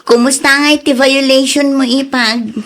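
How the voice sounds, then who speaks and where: An actress with an Ilocano accent playing a gossipy but good-hearted sister-in-law in radio drama style. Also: female voice, high-pitched, fairly even intonation, normal speed, a bit bright. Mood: sympathetic.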